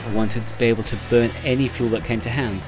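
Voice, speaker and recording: A man giving an informal how-to voice-over as narrator, in a hesitant, halting way with short phrases. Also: medium pitch, fairly even intonation, rough recording. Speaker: narrator, solo sailor demonstrating a homemade stove. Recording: music playing behind the voice.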